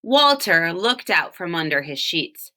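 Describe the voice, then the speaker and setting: A woman reads a storybook aloud for children. Her voice is bright and her pitch moves in lively swings. She takes her time, leaning expressively on key words. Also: a little slow. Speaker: storybook reader, teacher reading for home learning.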